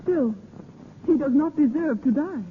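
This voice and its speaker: A woman's voice: sits high, animated, a little slow. An actress playing a proud, earnest young French noblewoman with a formal, haughty manner in a 1930s radio drama. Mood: defensive. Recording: tinny 1930s radio recording, faint noise.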